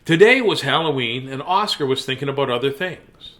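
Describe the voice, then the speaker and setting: A man reading a children's bedtime story theatrically, with dramatic pauses and playful asides. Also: mid-range voice, lively intonation, a bit bright.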